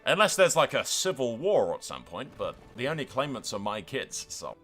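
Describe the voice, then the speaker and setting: A man's voice: mid-range voice, big pitch swings, moderate pace, pushed and bright, soft background music. A man giving casual, sweary gameplay commentary as a gaming YouTuber, chatting to his viewers in a blokey way.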